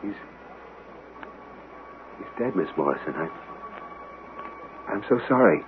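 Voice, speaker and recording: An actor playing an earnest, courteous gentleman in a ghost mystery, measured and polite in a radio drama. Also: sits low, animated. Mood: shaken, grave. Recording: narrow, noisy old radio broadcast.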